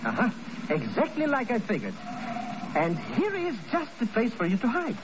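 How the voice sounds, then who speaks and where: High, excitable comic radio sidekick. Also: male voice, moderate pace, heavily acted. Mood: smug. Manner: wheedling, florid, self-important. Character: cowardly, grasping, excitable comic male sidekick. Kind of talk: 1950s radio drama comic acting. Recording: muffled, noisy old radio recording.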